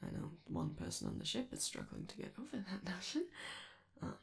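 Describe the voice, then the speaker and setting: A woman's voice: a bass voice, wildly swooping pitch, brisk, gravelly, exaggerated voice. A woman playing a friendly, nervous robot alien crewmate in a comforting ASMR roleplay, rambling and hesitant as they reassure the listener. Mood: hesitant, encouraging.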